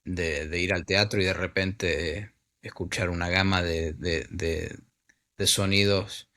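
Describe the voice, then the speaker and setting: A man's voice: deep voice, steady tone, takes it slowly, bright, forceful tone. A man with an Argentine Spanish accent, an actor talking casually as an interview guest, searching for words with many fillers and repeats. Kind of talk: casual conversation.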